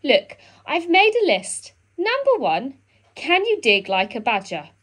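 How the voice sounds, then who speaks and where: A woman's voice: sits high, wildly swooping pitch, measured pace, fairly bright tone, voice pushed up, heavily acted. A woman voicing a bossy, self-important male badger in a children's storybook, with emphatic, sing-song commands.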